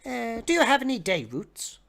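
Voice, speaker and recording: A man's voice: extremely high pitch, wildly swooping pitch, gravelly, fairly bright tone, voice pushed up, full character voice. A man voicing a nervous, hesitant male passenger in a storybook reading, stammering with a trembling voice. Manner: stammering, halting.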